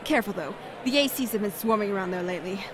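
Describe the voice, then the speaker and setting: Woman voicing a pushy, animated young food-stall vendor. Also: mid-range voice, crowd noise, close to an everyday voice. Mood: flustered, casual. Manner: emphatic, drawn-out, theatrical delivery. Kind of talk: acted visual novel dialogue. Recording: clearly noisy background ambience.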